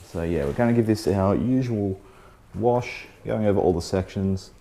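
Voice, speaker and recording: A man hosting a car detailing vlog, talking casually about a product test while losing his voice, a little hoarse, with plenty of "um" and "so yeah". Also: lowish voice, moderate pace. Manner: relaxed, chatty, frequent "um" and "so yeah". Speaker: car detailing channel host. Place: outdoors beside a test panel.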